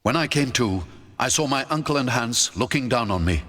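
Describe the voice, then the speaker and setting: A man narrating a first-person adventure audiobook in a theatrical, expressive storytelling manner. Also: medium pitch, normal speed, soft background music. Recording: long hall reverb.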